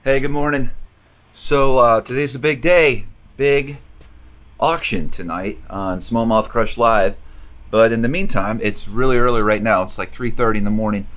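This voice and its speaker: A man's voice: mid-range voice, normal speed. A man in his thirties hosting a fishing vlog, chatting casually with lots of fillers. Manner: relaxed, chatty, many fillers. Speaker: fishing channel host. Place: outdoors in a coastal salt marsh. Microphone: action camera held close. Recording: noisy outdoor camera audio.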